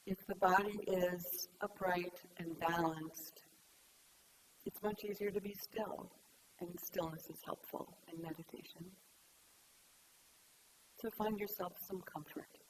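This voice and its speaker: A woman's voice: fairly low pitch, fairly even intonation, low-quality recording. Woman guiding meditation, hissy recording. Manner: gentle, unhurried instruction with long pauses. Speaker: meditation teacher. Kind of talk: guided meditation. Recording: clear background hiss.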